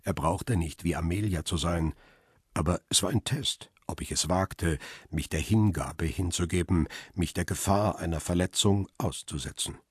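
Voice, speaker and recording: Brooding middle-aged lead, deep voice, dry close sound, measured introspective radio-play narration. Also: male voice, breathy edge, no room sound. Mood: hesitant, intimate. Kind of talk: radio drama, literary first-person narration.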